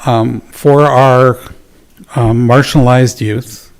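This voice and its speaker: A man presenting at a public town council meeting, talking in a measured, earnest way with fillers and pauses. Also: medium pitch, fairly even intonation, clean tone, poor audio. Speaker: youth program presenter.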